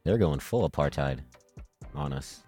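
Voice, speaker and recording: A man's voice: very deep voice, measured pace, soft background music. A man co-hosting a podcast in casual chat, filling his talk with "like" and laughing often. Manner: lots of "like", laughs often, lively. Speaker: podcast co-host.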